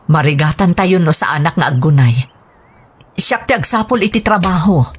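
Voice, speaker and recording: A woman playing a careworn, pleading mother in a radio drama, with a husky voice and an Ilocano accent. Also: on the low side, expressive, moderate pace. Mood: worried, resolute. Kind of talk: Filipino radio drama acting. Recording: music bed under dialogue. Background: music.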